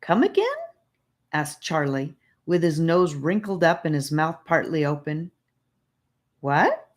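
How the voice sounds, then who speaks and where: A woman's voice: sits low, steady tone, normal speed. A woman reading a scripted audiobook story as its narrator.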